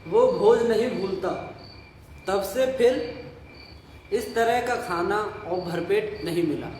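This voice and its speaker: An actor playing an old, poor village father in an audio play, nostalgic and boastful, savouring his drawn-out memories and grumbling. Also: sits high, darkish tone. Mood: wistful, savouring. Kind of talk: Hindi audio play dialogue. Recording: roomy echo, faint noise, night crickets.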